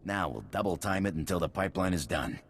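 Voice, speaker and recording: An actor playing a plain-spoken, weary colony engineer in a video game, with a folksy, wry manner. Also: male voice, low voice, animated, fast, slight background noise. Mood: friendly, wry.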